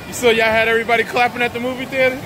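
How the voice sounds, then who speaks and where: A woman chatting casually and encouraging kids excitedly as she films a family outing on a phone. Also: fairly high pitch, rapid-fire. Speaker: vlogger filming a family outing. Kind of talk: casual chat and cheering. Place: large busy indoor shopping mall. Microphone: handheld phone, behind the camera. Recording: noisy crowded indoor mall, phone audio. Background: crowd chatter and ride noise.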